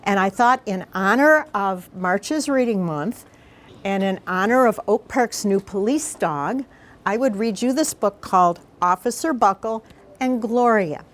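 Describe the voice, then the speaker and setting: A woman's voice: mid-range voice, expressive. Female read-aloud storyteller for elementary kids, informal and explanatory, interactive with the listeners. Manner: explanatory, pauses to question the children. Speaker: mayor reading aloud to schoolchildren.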